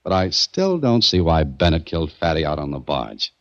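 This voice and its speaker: A man's voice: a bass voice, big pitch swings, moderate pace. An actor playing a determined male detective who narrates his own case, in 1940s radio acting. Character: hard-boiled male detective, dry and determined. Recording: dull old radio recording.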